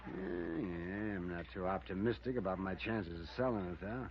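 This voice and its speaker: A man's voice: deep voice, moderate pace, a little hiss or hum, natural voice. An American man playing an easygoing small-town cabin owner in a 1950s radio drama, talking in a folksy, offhand way. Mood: casual, affable. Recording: muffled old radio recording.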